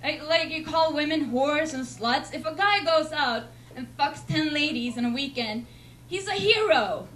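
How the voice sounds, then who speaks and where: A young woman from Sweden with a Swedish accent, doing a deadpan, self-mocking stand-up set in a comedy club. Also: high-pitched, normal speed. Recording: noisy club recording.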